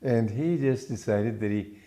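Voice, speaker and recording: Calm older man, mellow voice. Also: on the low side. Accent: mixed English-American. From Romania. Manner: calm, measured, storytelling. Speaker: spiritual teacher. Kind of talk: spiritual talk.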